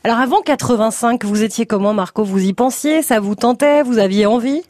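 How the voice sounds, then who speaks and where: A woman's voice: a little high, no echo, studio quality. Chatty woman hosting a radio show. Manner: lively, chatty, quick to interject. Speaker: radio call-in host. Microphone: studio microphone.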